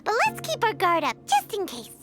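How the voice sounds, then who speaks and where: An extremely high, childlike fairy sidekick from a video game. The squeaky, chirpy voice swings up and down in an animated way, talkative and cheery, with loud music underneath. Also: female voice, fairly quick, full character voice. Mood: puzzled, wary. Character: small cheery childlike fairy companion girl, talkative and wary. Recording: prominent game music behind the voice.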